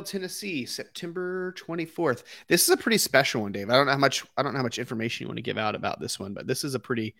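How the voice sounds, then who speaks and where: Playful bright-voiced co-host man. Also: mid-range voice, dry recording, studio quality. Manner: playful, teasing, hype-man energy. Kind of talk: casual livestream chat.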